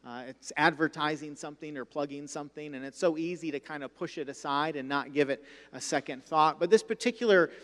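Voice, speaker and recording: A male pastor introducing a guest speaker in a measured way, pausing on "uh". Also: a little high, fairly bright tone. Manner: measured, with "uh" fillers. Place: church sanctuary. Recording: long hall reverb.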